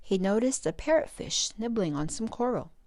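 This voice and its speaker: A woman reading a children's storybook aloud as a storytime host, with expressive, careful phrasing. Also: mid-range voice, normal speed, dry recording, clean studio sound.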